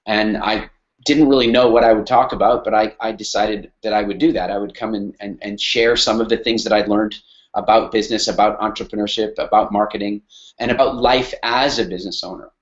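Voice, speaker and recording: Canadian man, middle-aged, relaxed and halting webinar talk, muffled lo-fi call audio. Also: lowish voice. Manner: hesitant, many fillers and restarts. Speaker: webinar speaker. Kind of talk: casual spoken talk on a webinar.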